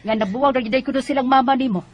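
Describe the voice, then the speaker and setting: A woman's voice: high-pitched, rapid-fire, darkish tone, husky. A woman playing a blunt, disapproving, gossipy grandmother in a radio drama, emphatic and with a Bisaya accent. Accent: Bisaya Filipino. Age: older.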